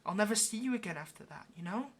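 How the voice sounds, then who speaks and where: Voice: mid-range voice, expressive, fast, fairly bright tone, breathy edge. A performer playing a lonely, pleading, affectionate caged demon in an intimate ASMR roleplay, speaking in a hesitant, coaxing way. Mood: wistful, shy. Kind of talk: ASMR roleplay, intimate one-sided conversation.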